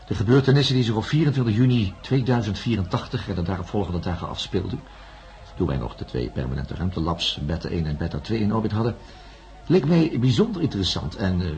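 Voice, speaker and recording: A man playing an authoritative hospital doctor in a radio drama, calming but probing. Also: lowish voice, dull audio. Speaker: actor playing a psychiatrist-narrator. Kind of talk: radio drama acting and first-person narration.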